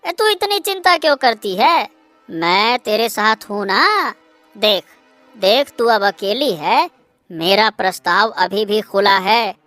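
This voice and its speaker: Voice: mid-range voice, animated, slow pace, sharp tone, no room sound, faint music behind. A performer voicing an arrogant young male suitor in a Hindi cartoon, condescending and boastful. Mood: smug, coaxing.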